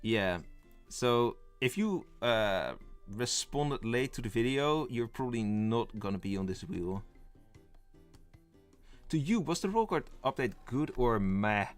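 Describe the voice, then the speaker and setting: A man's voice: mid-range voice, expressive, a little slow, faint music behind. Dutch man chatting on a live stream. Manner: rambling, thinks aloud, reads chat and lists. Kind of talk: casual live-stream chat.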